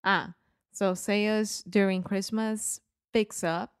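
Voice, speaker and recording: A woman's voice: medium pitch, steady tone, slow pace, clear, resonant tone, no room sound, studio quality. A woman co-hosting a podcast, playful and curious, asking questions as she learns. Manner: playful, laughs often, asks questions. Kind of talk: casual chat.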